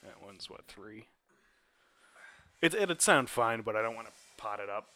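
A man's voice: fairly low pitch, animated, unhurried, pushed and bright. A man hosting a podcast on a studio mic, joking around in crude, teasing casual chat. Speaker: podcast host.

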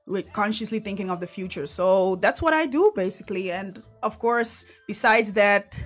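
A woman's voice: mid-range voice, animated, normal speed, soft background music. A young woman from the Netherlands, a native Dutch speaker, chatting casually as an interview guest and laughing now and then. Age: young adult. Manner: chatty, laughs while talking. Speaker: guest, web-series co-creator.

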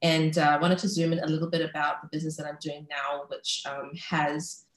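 A woman's voice: low voice, flat delivery, fairly quick, reverberant. A pregnant woman in her thirties, from Malaysia and raised in New Zealand, giving a chatty talk as a guest speaker. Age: 30s. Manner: chatty, many ums and restarts. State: heavily pregnant. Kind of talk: casual talk on a video call.

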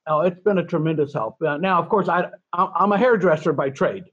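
A man's voice: on the high side, a little fast, fairly bright tone, slightly rough, no room sound. Field: talkative man in his fifties, dull audio. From Azores, raised in California. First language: Portuguese. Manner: talkative, rambling with many restarts. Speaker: podcast guest. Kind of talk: casual interview chat.

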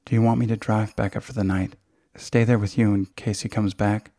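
A man's voice: on the low side, level tone, a little fast, warm tone, dry recording, clean studio sound. A man reading the part of a worried young college woman in an audiobook, with a firm, urging, questioning manner. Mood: concerned, urging.

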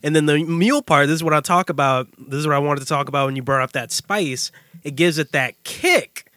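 Male podcast host, high and lively, casual giggly storyteller, dry close sound. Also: fairly quick, dry recording. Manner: animated, giggly, slangy. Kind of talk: casual comedic storytelling among friends.

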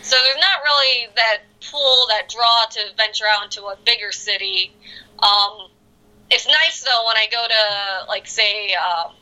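A bright, fairly high voice from a young Midwestern American woman in casual conversation. She tells stories with animated energy and laughs often as she talks. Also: a little slow, poor audio. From South Korea. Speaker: guest.

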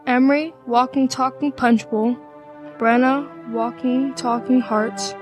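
A woman's voice: sits high, fairly even intonation, slow pace, faint music behind. A young woman reading scripted narration for an amateur radio play.

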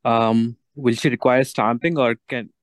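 Casual male caller, medium pitch. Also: fairly even intonation, measured pace. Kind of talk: casual brief questioning.

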